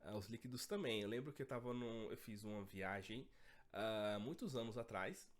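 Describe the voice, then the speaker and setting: A man with a cold, his voice a bit hoarse, teaching an online French lesson and often checking in with his students. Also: on the low side, normal speed.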